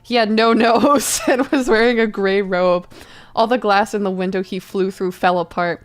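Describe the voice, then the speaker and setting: A woman's voice: medium pitch, fairly even intonation, moderate pace. A woman narrating a comic dramatic reading of fanfiction for a livestream in a giggly, theatrical manner.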